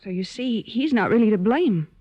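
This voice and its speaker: An actress playing an anxious sister who pleads hesitantly and earnestly in an old-time radio drama. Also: female voice, on the high side, animated, normal speed. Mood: pleading. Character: anxious, pleading older sister of wayward boy. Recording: dull, lo-fi old radio recording.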